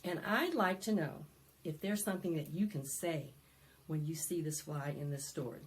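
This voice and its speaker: A woman presenting storytime for young children, singing and reading aloud in an animated, sing-song way that coaxes them to join in. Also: low voice, moderate pace, slight background noise. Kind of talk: interactive preschool storytime, singing and read-aloud.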